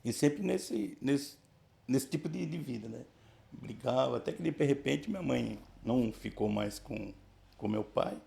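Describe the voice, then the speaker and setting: Gravelly-voiced man in his sixties. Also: mid-range voice, warm tone. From Brazil, São Paulo region. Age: 60s. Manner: halting, restarts, frequent tag questions. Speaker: church member giving testimony. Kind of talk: casual life-story telling.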